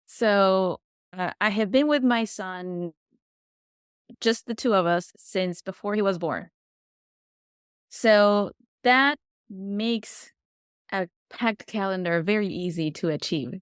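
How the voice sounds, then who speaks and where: A woman's voice: mid-range voice, slow, long pauses, clear, resonant tone, no room sound, studio quality. A woman whose first language is Spanish, a podcast guest chatting casually and sometimes searching for words. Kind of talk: casual interview chat.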